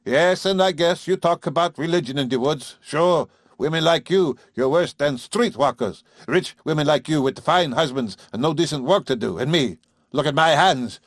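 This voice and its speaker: A man voicing a gruff, bullying old farmer with a whining, rough country dialect. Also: a little high, normal speed, dry recording, clean studio sound, pitched above the performer's own voice, close to an everyday voice. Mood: angry, sneering.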